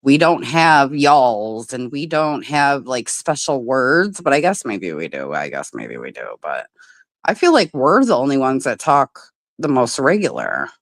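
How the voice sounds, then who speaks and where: A woman's voice: a bass voice, huge pitch swings, unhurried, no echo, clean studio sound. A woman from the Midwest of the United States hosting a casual livestream chat, talking loosely, swearing freely and laughing often. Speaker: livestream host.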